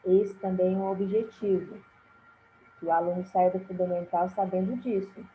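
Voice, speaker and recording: A woman from Brazil teaching a recorded lesson, talking slowly with frequent fillers and restarts. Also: on the low side, steady tone. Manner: slow, halting delivery with many fillers and restarts. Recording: tinny phone-like sound with faint hiss.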